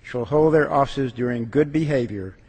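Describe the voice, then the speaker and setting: A man with a rough-edged, mid-pitched voice reads a formal text aloud. The sound carries the long reverb of a large chamber. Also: normal speed, darkish tone. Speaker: legislator reading a legal text aloud.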